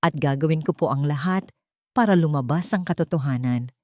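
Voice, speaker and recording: A woman's voice: sits low, fairly quick, darkish tone, no echo, studio quality, close to an everyday voice. A woman with a Filipino accent voicing a timid but brave young waitress, polite and earnest, in a romance story. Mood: firm, brave.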